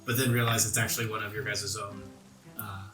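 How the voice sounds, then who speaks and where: Male game master, mid pitch, echoey and off-mic, clear music bed. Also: flat delivery, fairly quick, far from the mic. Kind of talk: narrating a tabletop game. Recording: roomy, off-mic, music underneath.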